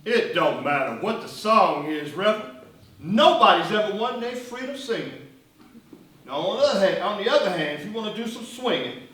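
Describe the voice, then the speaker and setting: A man's voice: high voice, very animated, normal speed, a bit bright. A man playing a fiery, mocking militant civil rights leader in a stage play, speaking in declamatory rhetoric. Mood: defiant. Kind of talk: theatrical one-act stage play acting. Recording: echoey stage recording from far off.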